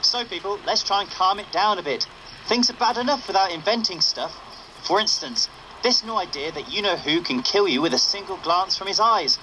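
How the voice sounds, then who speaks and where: An actor playing a cheeky rebel radio correspondent in a game, bantering with jokey deadpan asides. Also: male voice, high-pitched, moderate pace, a little hiss or hum, poor audio. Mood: wry, joking. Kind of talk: broadcast radio banter, scripted game dialogue.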